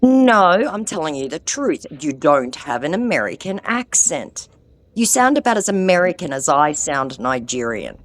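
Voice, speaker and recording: Sarcastic low-voiced woman. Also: very animated, normal speed. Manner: sarcastic, mocking, confrontational. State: has an ear infection. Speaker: scam-baiting vlogger. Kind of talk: confronting a phone scammer, then chatting to viewers.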